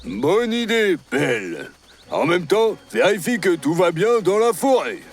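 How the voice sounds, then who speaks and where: Cartoon dinosaur teammate: practical, level-headed, matter-of-fact, music behind. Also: male voice, high-pitched, big pitch swings, unhurried, full character voice. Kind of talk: cartoon dub acting.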